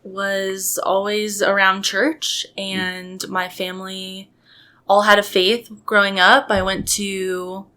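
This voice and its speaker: A young woman who speaks very slowly, with a bright and tense tone. She shares her story in a measured way, adding a playful remark here and there. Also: medium pitch, level tone. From Colorado. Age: 20s. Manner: slow, measured, with playful asides. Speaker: podcast guest. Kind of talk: casual conversational storytelling.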